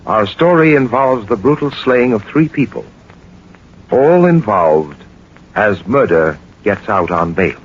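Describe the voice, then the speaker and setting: An actor playing a suave, commanding gentleman detective in a 1940s radio drama, speaking with assured authority. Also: fairly low pitch, lively intonation, measured pace, husky. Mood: inviting. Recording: noisy, dull 1940s radio recording.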